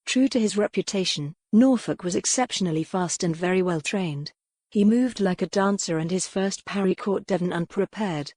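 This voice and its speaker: A woman's voice: fairly low pitch, darkish tone, no echo. A woman reading a historical romance novel as a scripted, dramatic audiobook narration.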